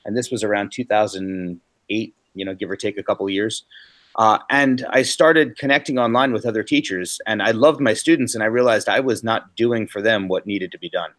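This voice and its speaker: A man's voice: on the low side, fairly quick, a bit bright, no room sound. Reflective American man, dry close sound. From United States. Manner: fluent, reflective, self-deprecating. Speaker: guest teacher. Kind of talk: webinar interview answers.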